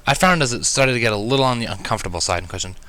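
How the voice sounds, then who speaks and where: Casting: male fan fiction narrator, very lively intonation, bright forceful tone. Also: mid-range voice, rapid-fire. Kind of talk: expressive story narration.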